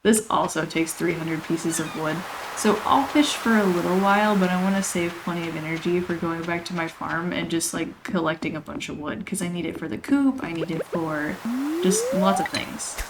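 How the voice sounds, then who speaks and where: A chatty woman, somewhat hoarse from losing her voice, rambling through casual gameplay commentary with frequent laughs. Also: fairly low pitch, big pitch swings, normal speed, noisy background. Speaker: let's play host. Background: game music.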